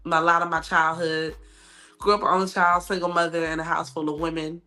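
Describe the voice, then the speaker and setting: A woman's voice: lowish voice, flat delivery, fairly quick, soft background music. A young adult woman, a musician, chatting openly in a casual podcast interview and laughing often. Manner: laughs often, warm and open. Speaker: guest musician.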